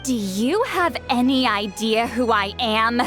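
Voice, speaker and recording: A proud ancient shaman who is curt and easily exasperated. The voice is fairly high and very animated, with haughty, theatrical game-anime delivery. Music plays behind it. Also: slow pace. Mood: haughty, threatening. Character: centuries-old shaman woman, youthful-sounding, proud, prickly, reluctant softie. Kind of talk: anime-style video game voice acting.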